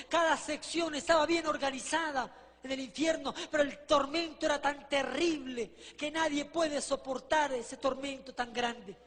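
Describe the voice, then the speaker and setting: A man's voice: extremely high pitch, a bit bright. A man preaching a vivid testimony in a fervent storytelling manner, often putting rhetorical questions to his listeners. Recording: reverberant hall with long echo.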